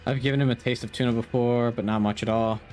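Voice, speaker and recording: A man's voice: medium pitch, steady tone, fast talker, darkish tone, soft background music. A man live-streaming a game, chatting casually, swearing now and then, reacting to play and reading chat aloud, a bit sniffly.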